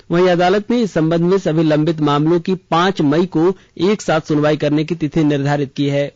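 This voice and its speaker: A man with a notably high voice reads a scripted news bulletin. The sound is very dry and close, with the dull, lo-fi tone of a radio broadcast. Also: darkish tone, no room sound. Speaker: radio newsreader.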